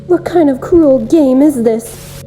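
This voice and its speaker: A lonely, obsessive game girl, acted by a woman, speaks in a highish, very soft and mellow voice over prominent music. She addresses the listener gently and intimately, with sweet menace, like a self-aware visual novel character. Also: takes it slowly. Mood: bitter, pleading. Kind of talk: visual novel character monologue, amateur voice acting.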